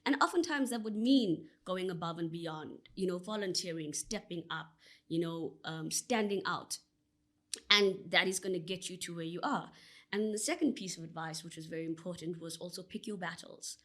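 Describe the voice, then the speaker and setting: A woman's voice: fairly low pitch, normal speed, fairly bright tone. A South African woman answering as a podcast guest, earnest and expansive, with frequent "you know" fillers. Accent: South African English. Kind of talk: conversational interview answer.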